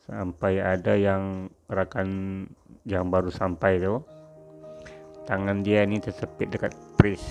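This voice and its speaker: A middle-aged Sabahan man recalls his working years in a deep, low voice. His tone is gravelly with noticeable fry, and he talks in an unhurried way, full of small fillers. Faint music plays underneath. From Sabah, Malaysia. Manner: reflective, unhurried, with frequent fillers. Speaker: former factory worker telling his story. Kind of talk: personal storytelling.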